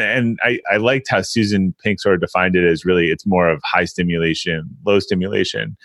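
A man's voice: lowish voice, fairly bright tone, dry recording. A man hosting a conversational interview, thinking aloud and often restarting his phrases as he frames a point. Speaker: interviewer, host.

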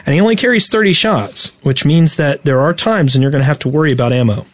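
A man's voice: on the high side, quick pace, dry recording. A man co-hosting a casual gaming podcast, reading out facts in an explanatory way and adding his own asides. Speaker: podcast co-host.

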